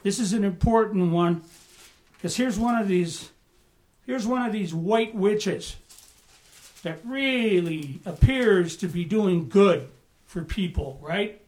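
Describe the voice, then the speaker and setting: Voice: medium pitch, slow pace, a little mellow. A pastor teaching a Bible study in a conversational way, often asking the room "right?" and "amen?", with bursts of emphasis.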